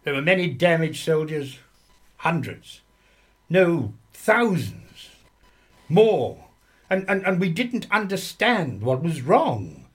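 A man's voice: fairly high pitch, very animated, slow. A man playing a sombre soldier who recalls suffering comrades, narrating dramatically in a radio play. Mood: sorrowful.

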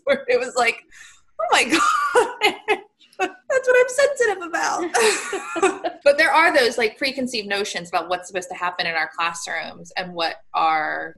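A woman with a bright, sharp voice tells an animated story in a casual discussion, laughing as she goes. Her pitch sits in an ordinary middle range. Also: creaky.

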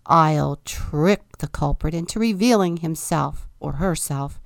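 A woman voicing a vain, pompous male king in a storybook read-aloud, with booming, self-important proclamations. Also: unhurried, gravelly, dry recording, clean studio sound. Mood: scheming.

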